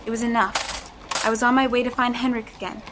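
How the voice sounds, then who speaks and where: A woman's voice: fairly high pitch, level tone, fast, background noise, natural voice. An actress playing an anxious young woman who narrates and pleads for answers in an audio drama. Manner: tense, hesitant, reflective in narration. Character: anxious young woman trapped in a man's body. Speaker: narrator and lead.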